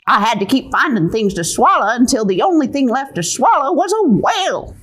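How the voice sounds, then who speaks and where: A woman's voice: fairly high pitch, very animated, brisk, fairly bright tone. A woman playing a resigned, wry little old lady in a children's puppet show, with a folksy, teasing drawl. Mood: rueful.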